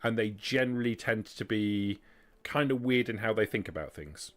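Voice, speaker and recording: A man acting as game master, explaining rules and lore in a measured, detailed way. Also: lowish voice, expressive.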